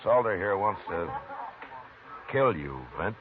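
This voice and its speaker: Steady western lawman, very low voice, old hissing radio sound. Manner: calm, firm, unhurried authority. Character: steady, commanding frontier marshal. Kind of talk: 1950s radio drama acting. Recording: tinny hissy old radio broadcast.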